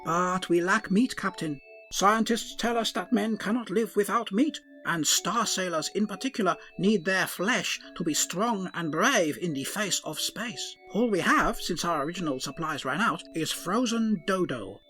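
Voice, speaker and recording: A man's voice: sits high, expressive, normal speed, bright, forceful tone, faint music behind. A man voicing a fussy, earnest male ship's doctor who speaks formally in an odd, blended put-on accent, for a comic audio drama.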